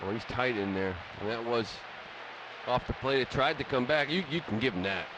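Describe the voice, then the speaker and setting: A man's voice: medium pitch, lively intonation, moderate pace. American man, baseball broadcast partner, medium voice, casual remarks over a noisy live stadium background. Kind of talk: casual live sports commentary. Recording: live radio booth with loud stadium ambience.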